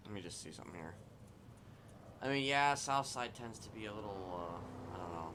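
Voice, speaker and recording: A man's voice: lowish voice, expressive, normal speed, very noisy. A man livestreaming a roleplay game in casual, improvised chat, hesitant, muttering to himself and swearing freely.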